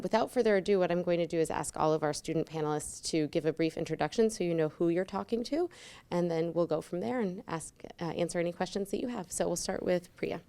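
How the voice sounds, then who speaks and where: Low-pitched woman moderating a panel. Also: dark tone. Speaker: admissions director moderating a student panel. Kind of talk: welcoming moderator remarks.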